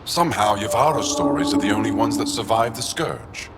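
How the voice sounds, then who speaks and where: A man's voice: fairly low pitch, lively intonation, a little fast, close to an everyday voice. A man playing a devoted alien researcher in a video game, explaining things with earnest, reverent expression. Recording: game ambience with vehicle noise.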